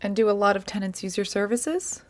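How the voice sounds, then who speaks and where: A woman with an American accent playing a patient, measured interviewer who gently probes, in a naturalistic audio drama. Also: medium pitch, natural voice. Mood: patient, probing. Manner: measured, calm, probing. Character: patient, probing woman interviewer recording an oral history.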